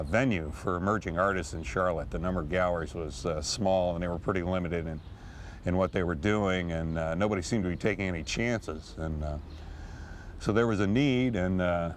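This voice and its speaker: A man who founded a gallery, recalling its start in a documentary interview, pausing often with fillers. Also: low voice, slight background noise. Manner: hesitant, many "uh" fillers.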